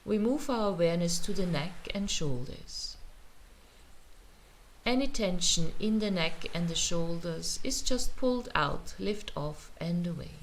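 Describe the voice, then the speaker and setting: A woman teaching meditation, guiding a relaxation in a gentle, soothing manner with pauses. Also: lowish voice, lively intonation. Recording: noticeable ambient background noise.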